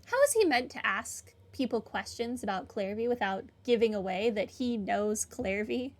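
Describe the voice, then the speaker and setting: A woman's voice: on the high side, expressive, normal speed. A young woman giving casual commentary as she plays a game. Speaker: gaming video host.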